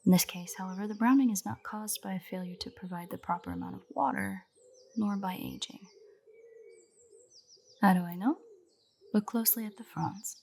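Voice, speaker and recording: A woman's voice: medium pitch, moderate pace, gravelly, pauses often, a little mellow, a little hiss or hum, close to an everyday voice. A woman playing an earnest, nerdy royal botanist in a fantasy audio roleplay, speaking in a precise, formal, lecturing way directly to the listener. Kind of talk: roleplay audio drama, scripted monologue to the listener. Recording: birdsong behind the voice. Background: birdsong, garden ambience.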